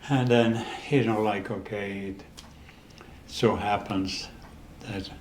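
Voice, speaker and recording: Older Dutch-accented man in his eighties, unhurried and level, stop-start storyteller; echoey room. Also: on the low side, fairly even intonation, a little mellow, slight background noise. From the Netherlands. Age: 80s. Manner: halting, with many restarts and pauses. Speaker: interview guest. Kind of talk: storytelling in a casual interview.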